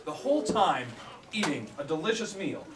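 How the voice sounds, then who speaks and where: Animated woman storyteller in an echoey room. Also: fairly low pitch, a crowd in the background. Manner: theatrical, drawn-out words and repeated phrases. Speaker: storyteller for a children's puppet show. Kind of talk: animated live storytelling for small children. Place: echoey room at a live children's puppet show. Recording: echoey room, far from mic, audience noise. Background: audience of a live show.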